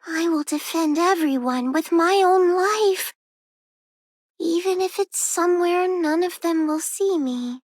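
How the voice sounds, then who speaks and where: An earnest young girl from a video game. Her voice is high and thoughtful, full of devotion, and recorded very dry. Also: slow, no echo. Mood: fervent. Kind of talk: video game character voice lines.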